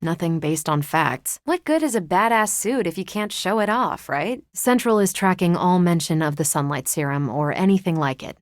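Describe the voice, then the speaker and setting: Actress for video game superheroine, ex-military pilot: American, slightly low register, self-assured, dry teasing wit, very dry recording. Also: female voice, lively intonation, moderate pace, hardly any pauses, dry recording, lightly acted. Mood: dry, conversational. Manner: casual, dry, teasing confidence. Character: confident, wry female superhero and former military pilot. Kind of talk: video game character dialogue.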